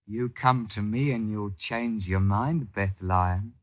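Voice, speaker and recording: An actor playing a smooth-talking young thief and ladies' man, wheedling in flowery period speech in an old radio drama. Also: fairly low pitch, slow, no room sound, dull audio. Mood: coaxing.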